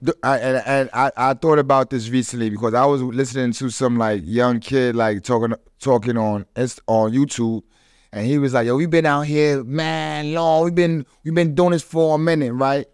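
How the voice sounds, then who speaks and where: A man's voice: mid-range voice, fairly even intonation, moderate pace, clean tone, no room sound. A man hosting a casual podcast chat, telling things in street slang, close on a studio microphone. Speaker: podcast host. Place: small studio with foam panels and curtains.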